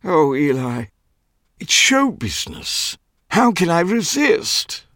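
A man voicing a foppish, theatrical, lanky gossip writer with a flamboyant, drawn-out manner, in an audiobook reading. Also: fairly high pitch, big pitch swings, fairly bright tone, no echo, clean studio sound, pitched above the performer's own voice, heavily acted. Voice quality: flamboyant, drawn-out, dramatic delivery.

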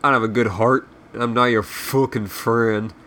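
A man's voice: mid-range voice, fairly even intonation, normal speed, sharp tone, lightly acted. A man voicing a surly, self-loathing sprite guide who rambles sarcastically and swears as he insults, in a comic read-aloud. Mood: scornful, bitter. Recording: clearly noisy background.

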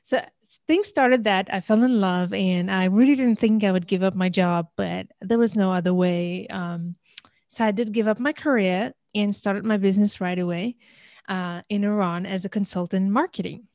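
A woman's voice: mid-range voice, moderate pace, darkish tone, no room sound, studio quality. A woman in her thirties from Algeria, raised in many countries, telling her story conversationally and with animation as an interview guest. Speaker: interview guest, business consultant.

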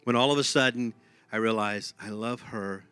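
A man's voice: lowish voice, moderate pace, a bit bright, clear, resonant tone, no echo, soft background music. A man with a Texan accent preaching a sermon in an emphatic, conversational way, often repeating his phrases. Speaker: pastor.

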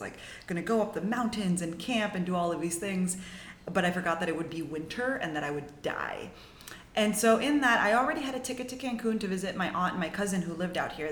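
A woman's voice: mid-range voice, echoey room, a little hiss or hum. A young woman in her twenties from Mexico, telling her story as a podcast guest in a chatty, upbeat way full of "like"s and restarts. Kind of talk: casual storytelling.

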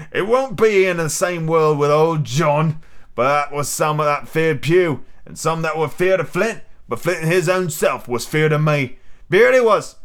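A man's voice: a little high, fairly bright tone, voice pushed up. A man reading an audiobook, voicing a cunning, boastful, domineering pirate cook with emphatic rustic sailor talk. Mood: boastful, wheedling. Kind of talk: audiobook character acting.

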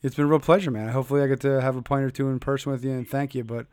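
A man's voice: mid-range voice, fairly even intonation, racing, darkish tone, clean tone, dry recording. A man, hosting an interview podcast in an enthusiastic, slangy, rambling way. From Philadelphia, US. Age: 30s. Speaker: podcast host. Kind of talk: casual interview chat.

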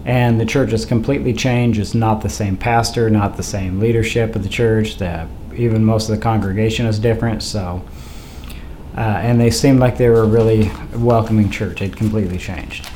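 A man's voice: fairly low pitch, steady tone, moderate pace, mellow. Man with a cold hosting a podcast. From Idaho, USA. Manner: hesitant, many uh fillers. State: has a cold, losing his voice. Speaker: podcast host. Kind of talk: casual monologue. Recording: faint wind noise behind.

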